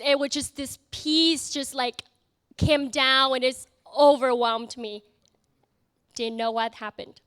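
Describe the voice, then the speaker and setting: A young woman from Vietnam with a Vietnamese accent, giving an earnest, halting personal testimony to an audience, full of fillers and restarts. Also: sits high, pushed and bright, no echo. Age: young adult. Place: event in front of an audience.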